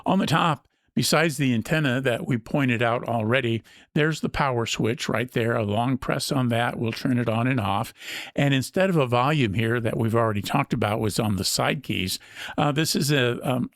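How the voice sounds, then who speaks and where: A man's voice: medium pitch, normal speed, no echo, studio quality. A man presenting an unscripted product walkthrough as a review host, explaining things methodically step by step.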